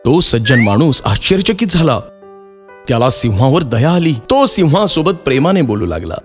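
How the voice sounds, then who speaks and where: A man's voice: mid-range voice, big pitch swings, moderate pace. A man narrating a scripted moral story for children as a storyteller. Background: background music.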